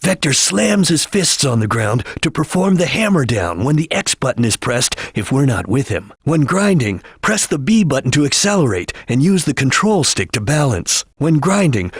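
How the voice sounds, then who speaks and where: A man voicing a serious, disciplined chameleon ninja in a video game, speaking in a husky, clipped and measured way as he gives instructions. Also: fairly high pitch, no echo, clean studio sound, full character voice. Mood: instructive, composed. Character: serious, disciplined male chameleon ninja detective, calm and watchful. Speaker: video game character giving hints.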